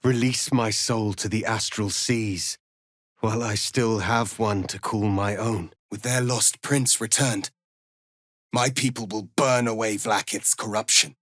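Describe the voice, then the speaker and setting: A man playing a proud, stern alien warrior prince in a fantasy video game, speaking formally and gravely with commanding, measured delivery. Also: on the low side, fairly even intonation, a bit bright, dry recording, clean studio sound. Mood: solemn, resolute. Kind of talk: dramatic fantasy game dialogue.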